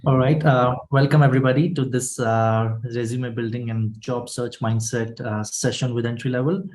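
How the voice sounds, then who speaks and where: A man moderating an online workshop, welcoming attendees and walking through the session in an informal, halting way. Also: mid-range voice, steady tone, a little mellow, slight background noise. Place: online video meeting.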